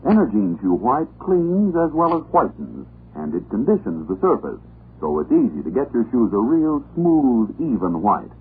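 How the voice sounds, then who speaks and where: A man's voice: medium pitch, sing-song, normal speed. A man reading a scripted 1940s radio advertisement as the network announcer. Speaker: commercial announcer. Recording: tinny old radio recording, faint hum.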